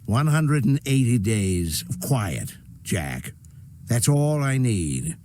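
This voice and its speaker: An actor playing an arrogant, corrupt city mayor in a video game, condescending and quietly menacing. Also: male voice, mid-range voice, big pitch swings, takes it slowly, slight background noise. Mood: veiled threat. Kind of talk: scripted video game drama.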